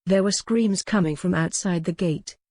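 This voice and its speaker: A woman with a lowish voice narrates a historical romance audiobook. Her reading is measured and articulate, in a very dry recording with hardly any room sound. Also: darkish tone, no room sound.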